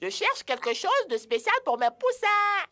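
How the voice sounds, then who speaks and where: A woman voicing a proud, excitable mother bird who dotes on her chicks in a children's cartoon, with an effusive delivery. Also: extremely high pitch, moderate pace, exaggerated voice. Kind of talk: children's educational cartoon dubbing.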